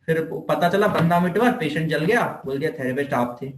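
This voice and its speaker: High-pitched Indian man lecturing, echoey room. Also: fairly quick. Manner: conversational, repeats points, asks rhetorical questions; mixes in English technical terms. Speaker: physiotherapy lecturer. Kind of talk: informal explanatory lecture.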